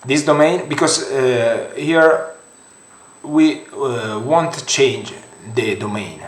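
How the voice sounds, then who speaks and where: A young man with an Italian accent, a non-native English speaker, talking through a software tutorial with many fillers and restarts. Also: medium pitch, slow, reverberant. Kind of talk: screen-recorded software tutorial.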